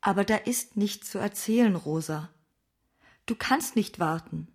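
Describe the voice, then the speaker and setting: An actress playing a loyal, practical woman helper in a German radio drama, husky and quietly insistent. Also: medium pitch, a little slow. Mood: urgent, reassuring.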